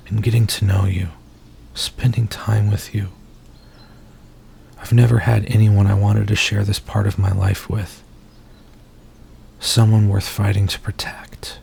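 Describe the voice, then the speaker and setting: Casting: male actor for an anguished, confessional werewolf in human form, ASMR roleplay, gravelly and narrow in intonation, some background noise. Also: on the low side, warm tone. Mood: earnest, tender. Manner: intimate, confessional, halting delivery.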